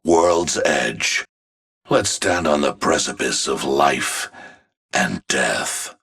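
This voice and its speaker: A man voicing a grim, arrogant villainous scientist in a video game, cold, pompous and deliberate. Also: rumbling low, vocal fry, fairly bright tone, slightly rough, exaggerated voice. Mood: cold disdain. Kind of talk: video game character voice lines.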